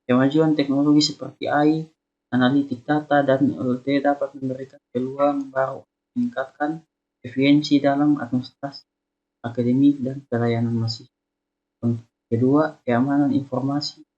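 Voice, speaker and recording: A young man reading a student slide presentation in a halting way. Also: mid-range voice, level tone. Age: young adult. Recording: dull, lo-fi sound.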